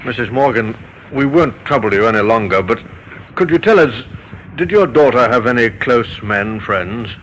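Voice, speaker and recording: A brisk Scotland Yard inspector, played by a man in an old radio play. He has a medium-pitched, forceful voice and questions people courteously but firmly. Faint noise runs under the vintage recording. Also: lively intonation, close to an everyday voice, poor audio. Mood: measured, probing. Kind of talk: old-time radio drama acting.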